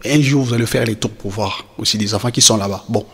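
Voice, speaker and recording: A man's voice: on the low side, fast, fairly bright tone, slightly rough. A man playing a reassuring father in a cartoon, explaining things patiently and a little hesitantly to his children. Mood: fond. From Democratic Republic of the Congo. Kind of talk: animated film dialogue, semi-improvised explanation.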